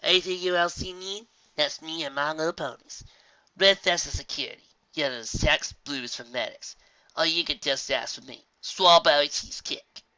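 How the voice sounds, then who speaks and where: A man's voice: fairly high pitch, moderate pace, bright, forceful tone, clear, resonant tone, dry recording, highs cut off, voice pushed up. A man voicing a bustling, folksy middle-aged female pony mayor in a storybook reading, chatty and motherly. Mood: friendly, bustling.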